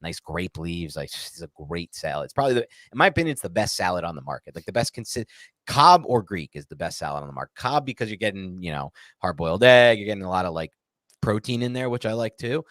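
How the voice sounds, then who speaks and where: A man's voice: sits low, very animated, fast talker, fairly bright tone, dry recording. An American man co-hosting a sports talk show, chatting casually in a rambling, opinionated and emphatic way. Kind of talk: casual live Q&A chat about American football.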